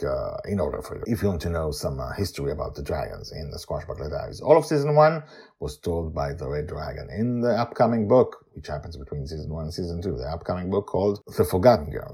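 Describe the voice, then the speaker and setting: A man's voice: very deep voice, dark tone, breathy edge. A man hosting a story podcast, talking informally and emphatically as he introduces and explains episodes.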